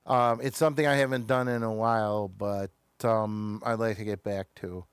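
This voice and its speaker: A man's voice: lowish voice, steady tone, normal speed, clean tone, no echo, studio quality. A man with an American accent, hosting a casual talk show in a hesitant, wandering way, often trailing off mid-sentence.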